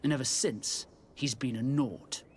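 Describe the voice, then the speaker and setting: An actor playing a guarded, formal sea captain in a video game, with a dry, measured manner. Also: male voice, mid-range voice, slight background noise, close to an everyday voice. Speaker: ship captain character. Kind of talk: video game cutscene dialogue acting.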